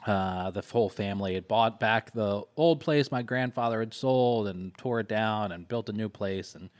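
A man hosting a podcast in a loose, conversational way. His voice sits on the low side. He rambles easily and now and then drops a casual swear word. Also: moderate pace. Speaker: podcast host.

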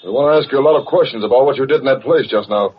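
A man plays a businesslike American plainclothes cop who rattles his words off very fast. He is curt and insistent, on a muffled, hissy vintage recording. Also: mid-range voice, close to an everyday voice. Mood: firm, coaxing. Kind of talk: old-time radio acting.

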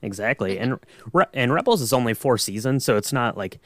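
A man's voice: medium pitch, animated, brisk, a bit bright, dry recording. A man chatting casually on a fan podcast in an easygoing, somewhat hesitant way. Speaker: podcast panelist.

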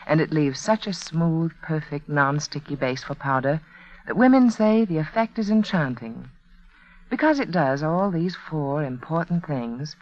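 A woman reading a scripted 1940s radio advertisement as announcer, in a confiding, dramatic storytelling manner. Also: lowish voice. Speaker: commercial announcer. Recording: dull, band-limited old radio recording.

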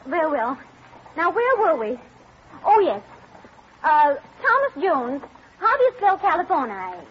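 An actress playing a young, prim frontier schoolmistress who is firm but easily flustered, in old-time radio drama style. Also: female voice, high voice, very animated, measured pace. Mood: firm, brisk. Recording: muffled, noisy vintage radio recording with crowd.

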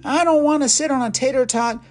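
A woman voicing an excitable little boy, a picky eater, in a children's story; she squeals and whines playfully. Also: high voice, a little fast, soft background music, pitched above the performer's own voice, natural voice. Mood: squeaky. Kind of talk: storybook character voice.

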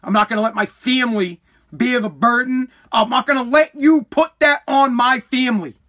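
A man's voice: very high-pitched, moderate pace, pushed and bright. An American man hosting a podcast, delivering an opinionated solo rant in a combative, emphatic, repetitive and profane manner. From United States. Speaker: podcast host.

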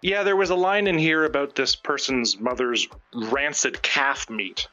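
A man's voice: mid-range voice, a little slow, bright, forceful tone, dull audio, soft background music. A man reading and chatting casually as a stream guest, with a joking, irreverent manner. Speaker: guest reader.

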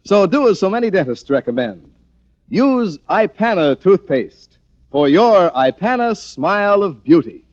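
Old radio ad guy, polished pitchman, animated and a bit high, muffled recording. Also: a little slow. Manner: polished, direct address to listeners. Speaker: commercial announcer. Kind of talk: 1940s radio ad read. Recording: dull vintage radio recording.

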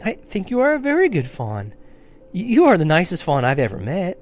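A man's voice: high-pitched, wildly swooping pitch, normal speed, soft background music, pitched above the performer's own voice, exaggerated voice. A man voicing a kind, anxious young girl in a storybook reading, polite and pleading. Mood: reassuring. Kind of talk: dramatic storybook character reading.

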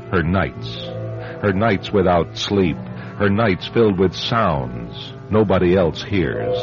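A man narrating a suspense radio drama as its announcer, deliberate, dramatic and portentous. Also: deep voice. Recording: dull radio recording over music.

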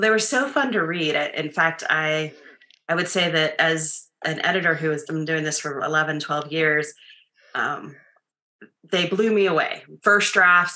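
A woman editor interviewing a guest, enthusiastic and quick to laugh. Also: fairly low pitch, pushed and bright. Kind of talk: interview conversation.

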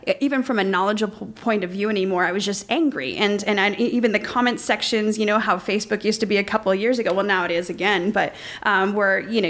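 A woman's voice: medium pitch, fairly bright tone. A middle-aged woman chatting on a podcast, telling a personal story in an earnest, rambling way with many restarts and fillers. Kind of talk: casual conversational storytelling.

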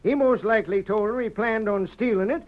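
A man performs a stubborn, skeptical western sheriff in a vintage radio drama. His voice sits high for a man and carries folksy cowboy slang. The sound is muffled with age. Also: fairly quick, close to an everyday voice. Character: blustery, skeptical Old West sheriff, stubborn but fair. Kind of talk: old-time radio western acting.

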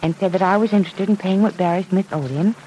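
A woman's voice: lowish voice, fast talker, warm tone, muffled recording, slight background noise, lightly acted. An actress playing a kind, well-off young woman in a 1940s radio drama, explaining things in an earnest way.

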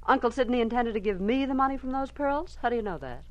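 A woman's voice: a little high, animated, quick pace, no echo, natural voice. An actress playing a sly, calculating female client in a 1940s radio detective drama, brassy and self-possessed. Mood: eager, surprised.

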